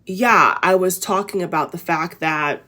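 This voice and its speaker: Woman, low voice, raspy, tired, rambling vlog talk, lots of fillers and restarts. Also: a little slow, sharp tone. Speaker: vlogger. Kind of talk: casual inspirational talk to camera.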